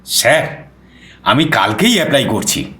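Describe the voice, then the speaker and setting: Animated, eager young reporter narrating, Kolkata Bengali. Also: male voice, mid-range voice, a little slow, roomy sound. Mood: nervous, eager. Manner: expressive, eager. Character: eager, nervous young male junior reporter. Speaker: narrator and young journalist character. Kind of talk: dramatized first-person story narration.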